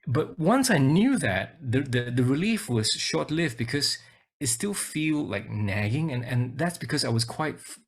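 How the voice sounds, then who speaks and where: A man's voice: medium pitch, big pitch swings, a little slow, slightly rough. A man from Singapore presenting a webinar over a video call, talking casually and hesitantly, often repeating his words.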